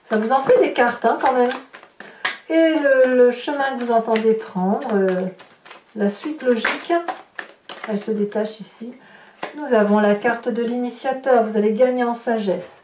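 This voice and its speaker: A woman's voice: fairly high pitch, unhurried. Distant, roomy-sounding woman reading tarot. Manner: chatty, thinking aloud while handling cards. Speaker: tarot reader and channel host. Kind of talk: informal tarot card reading for viewers. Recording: roomy, distant mic with light noise.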